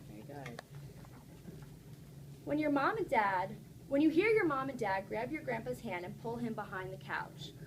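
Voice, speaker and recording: Woman teacher, higher-pitched, noisy classroom recording. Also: sing-song, moderate pace, pauses often. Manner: encouraging, checks in with questions. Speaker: teacher reading a picture book to young children. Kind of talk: interactive classroom read-aloud and discussion. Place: classroom with young children.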